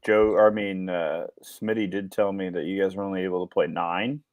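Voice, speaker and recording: A man's voice: low voice, flat delivery, normal speed, darkish tone, no echo. A young man co-hosting a sports podcast, laid-back, chiming in with short reactions. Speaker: podcast co-host. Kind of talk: casual sports podcast chat.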